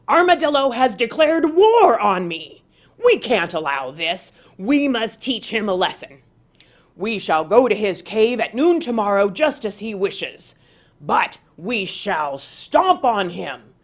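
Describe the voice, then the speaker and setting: A woman's voice: mid-range voice, big pitch swings, slow pace. A woman voicing an arrogant, bad-tempered male tiger in a children's story, speaking in an emphatic, commanding way. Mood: commanding, angry.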